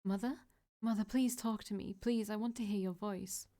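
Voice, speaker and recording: A woman's voice: mid-range voice, steady tone, normal speed, mellow, lightly acted. A woman voicing a desperate, broken young woman who pleads through tears. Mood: tearful pleading. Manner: pleading, tearful.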